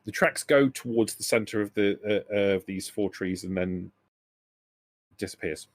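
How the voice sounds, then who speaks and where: Male game master, lowish voice, dry sound, feels his way through scene descriptions with frequent self-corrections. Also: moderate pace, no room sound. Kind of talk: improvised tabletop game narration.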